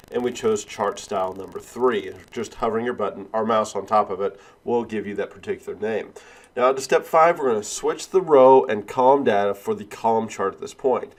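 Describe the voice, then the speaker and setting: Male instructor, lowish voice, stuffy with a cold, walks through each step, fillers like "uh". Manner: step-by-step, with frequent "uh" and "all right". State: has a cold, sniffling. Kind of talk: screen-recorded software tutorial.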